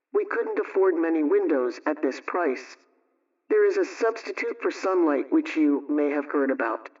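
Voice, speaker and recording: Actress as female homemaking adviser for a vintage radio talk: instructive, measured, practical, with dull, distant sound. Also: low-pitched, darkish tone, lightly acted. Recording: muffled radio sound, off mic.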